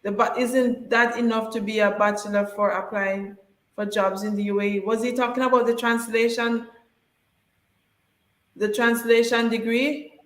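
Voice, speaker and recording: Woman live stream co-host, slightly high voice, chatty and encouraging, echoey room. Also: level tone, moderate pace. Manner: reads out viewer comments and urges viewers to subscribe.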